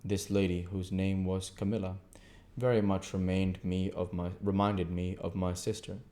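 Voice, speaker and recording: A man's voice: low voice, flat delivery, moderate pace, mellow. A man giving an amateur audiobook narration of a classic novel as the reader and host.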